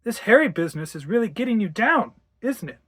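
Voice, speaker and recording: A woman's voice: mid-range voice, big pitch swings, brisk, husky, voice pushed up. Woman acting a breezy, sensible husband. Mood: reassuring.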